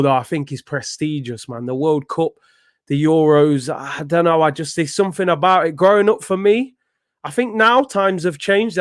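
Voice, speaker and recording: A young man talks his way through a football match, slangy and chatty. His voice sits high for a man, and the sound is dry and close. He bursts into excited shouting when the action heats up. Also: no echo. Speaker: host of a football watchalong stream. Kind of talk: live football watchalong chat and commentary.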